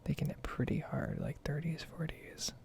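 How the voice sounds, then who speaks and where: A soft-spoken, unhurried gaming host giving a hushed, whispery ASMR ramble over gameplay. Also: mid-range voice, fairly even intonation. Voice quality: whispery, creaky.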